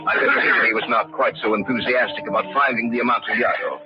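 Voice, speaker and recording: An actor playing a sly, murderous nobleman with smooth, courteous and sinister politeness in an old-time radio drama. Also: medium pitch, fairly even intonation, fast, over loud music, natural voice. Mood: ominous storytelling. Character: sly murderous nobleman feigning courteous concern for his victim. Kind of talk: old-time radio drama acting, first-person narration. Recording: noisy, muffled vintage radio recording.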